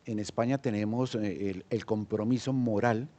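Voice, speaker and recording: A man's voice: on the low side, steady tone, normal speed, mellow. A man with a Colombian accent, an entrepreneur speaking on a panel, hesitant with many fillers and restarts. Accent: Colombian Spanish. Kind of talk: panel discussion.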